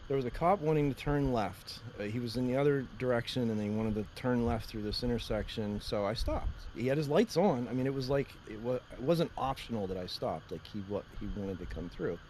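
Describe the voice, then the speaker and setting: Deep-voiced man telling stories. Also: animated, brisk, few pauses, darkish tone, slight background noise. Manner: rambling storyteller, laughs often. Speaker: podcast co-host. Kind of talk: casual chat.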